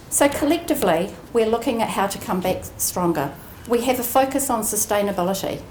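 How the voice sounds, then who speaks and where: A woman giving a prepared ceremony speech as an executive, measured and earnest with deliberate emphasis. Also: mid-range voice, a little mellow. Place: indoor ceremony room. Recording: roomy echoing venue, faint background noise.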